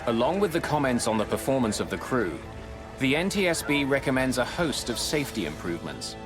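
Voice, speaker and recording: A man giving scripted, dramatic documentary narration in a measured, grave way, close on a studio mic. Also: medium pitch, sing-song. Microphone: close studio voice-over mic. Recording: music bed under narration.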